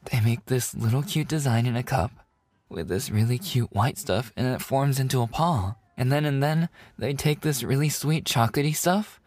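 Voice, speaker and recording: A man's voice: mid-range voice, normal speed, a little mellow, natural voice. A man voicing an eager, adorable young puppy-boy waiter in boyfriend ASMR roleplay, with a cutesy, bubbly, earnest way of talking. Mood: sweet, excitable.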